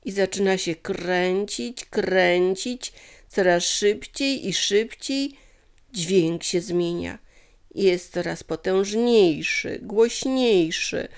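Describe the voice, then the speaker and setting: A woman's voice: medium pitch, vocal fry, darkish tone. A woman voicing a dreamy male professor in a children's story, exclaiming in wonder and stretching out words. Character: dreamy male professor, awestruck by visions of creation.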